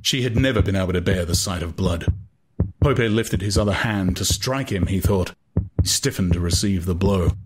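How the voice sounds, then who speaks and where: A man narrating a literary audiobook in a dramatic, intense way, with deliberate phrasing. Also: low voice, music in the background.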